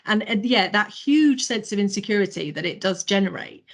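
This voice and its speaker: A woman's voice: mid-range voice, animated, normal speed, gravelly. A woman on a panel speaking earnestly and often saying "you know.". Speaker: roundtable panelist. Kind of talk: casual panel discussion.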